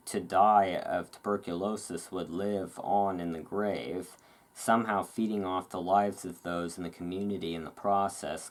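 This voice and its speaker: A host reading aloud in a relaxed ASMR style, with casual, musing asides. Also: low-pitched. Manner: rambling, musing asides between read passages.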